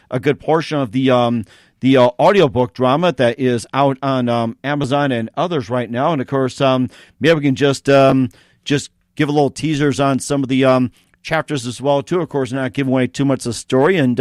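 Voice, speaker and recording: A man's voice: mid-range voice, no echo, clean studio sound. A man hosting a radio-style interview show, moving between sponsor reads and questions. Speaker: show host.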